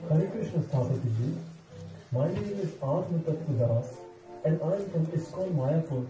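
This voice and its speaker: A man's voice: on the high side, animated, moderate pace, lightly acted. A man playing an earnest, devout temple devotee on a quest in an amateur stage drama, declaiming and pleading. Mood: hopeful, pleading. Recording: echoey hall, distant mic, music behind.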